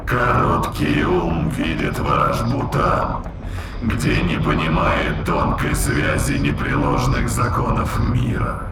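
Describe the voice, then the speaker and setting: An actor playing an ancient spirit inhabiting an ape's body, haughty and menacing, speaking in solemn, archaic, contemptuous phrases in an audio drama. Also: male voice, low-pitched. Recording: echoing, distant voice under loud music.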